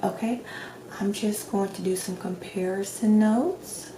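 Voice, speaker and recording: A woman speaking softly, at times in a whisper, in a gentle, reassuring ASMR roleplay as a doctor questioning a patient. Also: medium pitch, steady tone, a little slow. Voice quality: soft, partly whispered. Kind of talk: soft-spoken ASMR roleplay. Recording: roomy echo, clear background noise.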